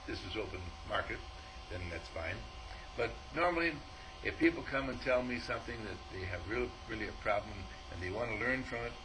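Man, spiritual teacher, animated storyteller, mid-pitched voice, faint background hiss. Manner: animated storyteller, acts out dialogue, chuckles often. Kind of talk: informal spiritual talk.

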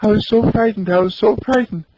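A man putting on a grieving young mother, her voice pushed high and slow, wailing the same words over and over. The tone stays gravelly and husky under the lift, on a dull lo-fi recording. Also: background noise. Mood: relieved fretting. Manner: wailing, repeating herself in sobs.

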